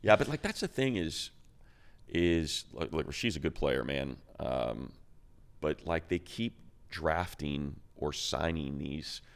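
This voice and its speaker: A man's voice: a bass voice, expressive, slow pace, gravelly, clean tone. A man co-hosting a sports talk show, giving casual analysis in a blunt, colloquial, conversational way.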